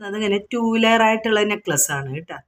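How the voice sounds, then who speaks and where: A woman's voice: medium pitch, expressive, fast, noisy background. A woman with a Kerala accent informally showing products item by item, explaining each and repeating prices in an unscripted, repetitive way.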